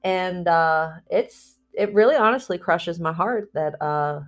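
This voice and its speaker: A woman with a fairly low voice talks into her own vlog in a candid, confessional way. She rambles through restarts and fillers, and slips soft laughs between her phrases. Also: a little slow. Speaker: spiritual vlogger. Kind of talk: candid personal vlog talk.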